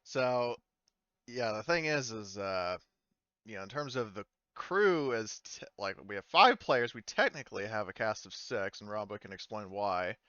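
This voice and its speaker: A man with a low, smooth voice runs a tabletop game, casually talking players through details in a halting way. The sound is dry and slightly dulled. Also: animated, pushed and bright, no room sound, highs cut off. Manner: hesitant, halting explanations. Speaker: game master.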